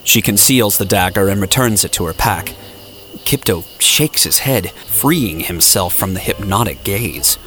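A man's voice: fairly low pitch, lively intonation, a little slow, fairly bright tone. A man reading scripted, dramatic story narration for an audio drama. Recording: music playing behind the voice.

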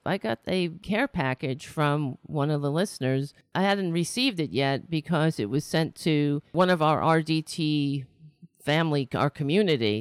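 A woman's voice: deep voice, clear, resonant tone, no room sound. A woman from New York City hosting a political livestream show, talking casually and launching into an exasperated, sarcastic rant. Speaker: host of a political talk show.

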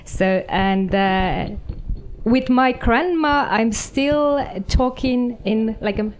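A woman with an Estonian accent, introducing herself on a panel in a halting way with many fillers. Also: fairly high pitch, slight background noise.

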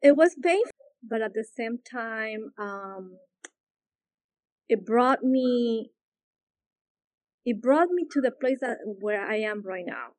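A woman from Peru, a yoga teacher, answering interview questions slowly and with many pauses and fillers. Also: on the high side, expressive, darkish tone, dry recording, rough recording. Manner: hesitant, searching for words, many fillers.

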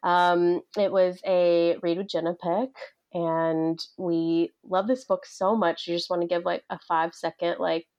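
A woman's voice: on the low side, flat delivery, unhurried, a little mellow, lo-fi sound. Casual, enthusiastic woman podcast host. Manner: enthusiastic, complimentary. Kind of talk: casual interview chat.

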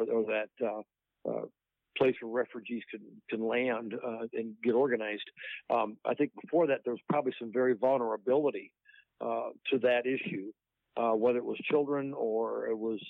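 A man from South Dakota, a volunteer guest in a casual interview, speaking in a measured way with fillers. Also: medium pitch, gravelly, dry recording. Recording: tinny phone line.

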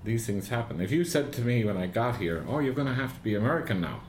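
A man with an Irish accent, reflecting haltingly in an interview with many fillers and restarts. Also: mid-range voice, expressive, a little mellow, roomy sound, slight background noise. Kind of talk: reflective oral history interview.

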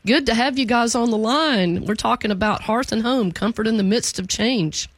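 A man's voice: very high voice, normal speed, fairly bright tone, no echo. Friendly male radio talk host. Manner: friendly, encouraging interviewer. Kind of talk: radio talk show hosting.

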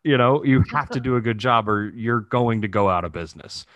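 A man's voice: medium pitch, lively intonation, fairly quick, a bit bright, no echo. A man from Texas chatting casually on a podcast, slangy and freely swearing. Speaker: podcast host. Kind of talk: casual conversational podcast chat.

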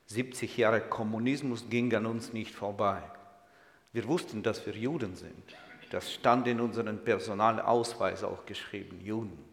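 A man's voice: fairly low pitch, fairly even intonation, moderate pace, creaky. A man, speaking German as a guest in church with humor and audience asides. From Ukraine. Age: 60s. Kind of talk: personal testimony in church. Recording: echoey hall.

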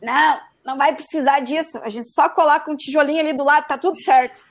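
Uma mulher jovem de Santa Catarina contando uma história de forma casual e animada, cheia de "né". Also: female voice, high voice, fast. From Santa Catarina, Brazil. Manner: animated, frequent "né" tags. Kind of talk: casual storytelling.

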